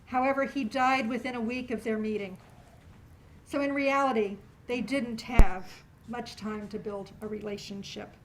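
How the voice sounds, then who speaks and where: A woman's voice: a little high, steady tone, normal speed, rough recording. A woman, speaking live to an audience as the host introducing a performance. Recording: live room with faint background noise.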